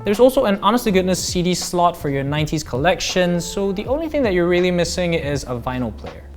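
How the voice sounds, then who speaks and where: A man's voice: sits high, very animated, brisk, soft background music. A man in his thirties with a Malaysian accent, narrating a scripted product review as a tech reviewer.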